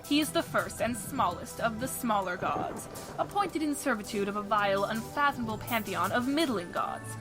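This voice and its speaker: A woman's voice: on the high side, natural voice, poor audio. A woman playing a wry, articulate narrator, delivering scripted second-person adventure story narration with a touch of theatre. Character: wry, matter-of-fact adventure game narrator. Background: music.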